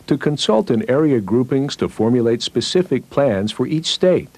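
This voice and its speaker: A man's voice: mid-range voice, animated, moderate pace, darkish tone, dry recording. A man reading scripted newsreel narration.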